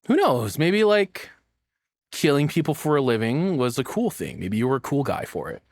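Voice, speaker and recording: A man's voice: mid-range voice, big pitch swings, moderate pace, no echo, clean studio sound. A man giving casual, joking reaction commentary as a video host, rambling and swearing in a flippant way.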